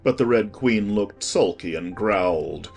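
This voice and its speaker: An audiobook narrator reading a children's story in a measured, expressive scripted style. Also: deep voice, gravelly, soft background music.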